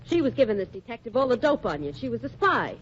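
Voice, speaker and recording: A woman's voice: on the high side, sing-song, quick pace. A woman playing a blunt, matter-of-fact young nightclub associate, in 1940s radio acting on a dull, lo-fi recording with faint hiss.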